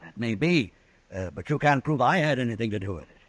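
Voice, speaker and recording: A man's voice: mid-range voice, expressive, brisk, no echo, lightly acted. An actor playing an evasive, self-righteous crooked businessman in a 1950s radio western.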